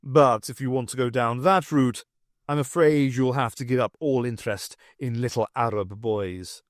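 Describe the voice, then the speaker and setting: A man playing a pompous, jovial fairytale king with a booming, genial and self-satisfied manner in a fan audiobook. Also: normal speed, dry recording, studio quality, close to an everyday voice.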